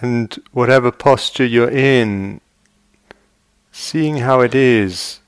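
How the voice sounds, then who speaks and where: A man guiding a meditation as a teacher, speaking gently and in measured short phrases with long pauses. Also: mid-range voice, clear, resonant tone, no echo. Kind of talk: guided meditation. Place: meditation retreat hall.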